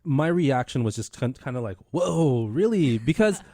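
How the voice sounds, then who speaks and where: A man's voice: medium pitch, expressive, normal speed, dark tone, no echo. Rambling male radio host, dry studio sound. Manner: rambling, many fillers and restarts. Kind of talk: casual radio chat.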